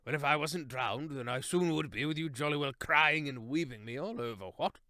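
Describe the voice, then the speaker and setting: A man's voice: a little high, a little fast, pushed and bright, no room sound, studio quality, pitched above the performer's own voice. A man voicing a jolly, plummy hare who blusters and says "what, what?". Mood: hearty. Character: jolly hare, plummy upper-class old soldier type.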